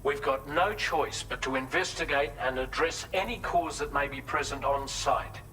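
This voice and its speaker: An actor playing an earnest, cautious mission adviser in a video game, speaking in a measured, formal and articulate way. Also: male voice, a little high, sharp tone, a little hiss or hum. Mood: serious briefing. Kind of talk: video game briefing, scripted.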